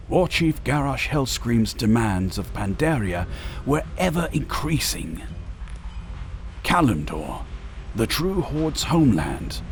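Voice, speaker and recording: A man reading scripted narration for a dramatic audio story in a measured, theatrical manner. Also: fairly low pitch, noisy background.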